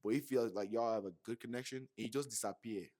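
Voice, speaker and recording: A young man from Nigeria with a Yoruba accent, telling a personal story to camera in a slangy, animated way with many fillers. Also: medium pitch, fast talker. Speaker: vlogger. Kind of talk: casual monologue to camera.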